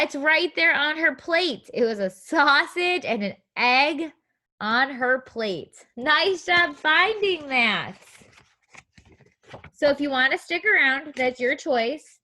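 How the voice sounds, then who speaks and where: A woman's voice: sits high, expressive, takes it slowly, bright, forceful tone. A woman teaching young children in an interactive read-aloud, speaking in simple, encouraging phrases with guiding questions and a sing-song delivery. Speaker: preschool teacher reading a story aloud.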